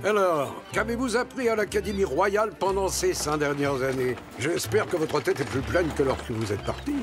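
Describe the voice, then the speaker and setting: A man's voice: a little high, expressive, moderate pace, lightly acted. An actor playing a dignified middle-aged landowner father in a cartoon, stern but loving, formal and admonishing. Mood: fond, grave. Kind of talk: animated series dubbing. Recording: music behind the voice.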